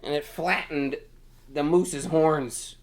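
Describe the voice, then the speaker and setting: A man's voice: a little high, steady tone, takes it slowly. A man reading a children's picture book aloud in a playful, exclamatory manner with dramatic emphasis. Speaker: storytime reader.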